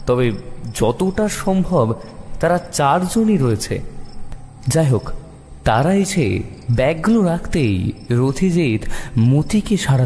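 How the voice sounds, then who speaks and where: A man narrating a detective story in the first person with expressive, suspenseful dramatic delivery. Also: a little high, slight background noise. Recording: long reverb, music behind the voice.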